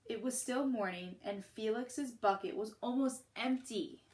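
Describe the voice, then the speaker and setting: A woman teacher reading a picture book to children and pausing to put questions to her listeners. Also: on the high side, a little mellow.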